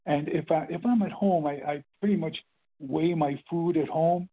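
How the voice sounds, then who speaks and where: A man's voice: on the high side, slightly rough. Older man in his eighties, rambling phone-in testimony, tinny line audio. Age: 80s. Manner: rambling, halting, with many fillers and restarts. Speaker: meeting speaker sharing his story. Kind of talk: casual spoken testimony.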